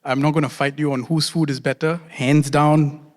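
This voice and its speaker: A man from Singapore speaks on stage at a conference, a slightly high voice ringing through a large hall with a long reverb tail. He is friendly and self-deprecating, and he repeats his key phrases slowly for emphasis. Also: level tone. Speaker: conference speaker, doctor and consultant. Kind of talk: conference talk.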